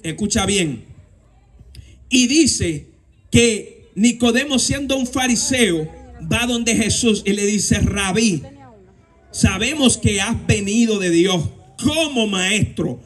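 A man's voice: high voice, animated, slow pace, fairly bright tone. A young man with a Dominican Spanish accent, preaching a fervent sermon outdoors at night into a handheld microphone, asking and answering his own questions. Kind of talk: street sermon. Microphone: handheld mic at a lectern.

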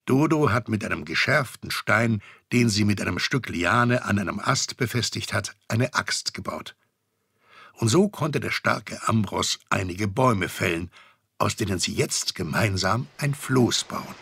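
A man's voice: lowish voice, animated, unhurried, creaky, bright, forceful tone, breathy edge, dry recording, clean studio sound. A man narrating a scripted children's story with a wry, storytelling manner.

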